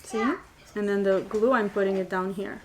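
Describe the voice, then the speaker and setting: A woman's voice: medium pitch, little pitch movement, normal speed. Chatty albanian-born woman doing a live craft tutorial, lots of ums, a bit of background hiss. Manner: chatty, switches between languages, fillers and restarts. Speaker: paper flower crafter and tutor. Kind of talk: live-stream craft tutorial, reading comments.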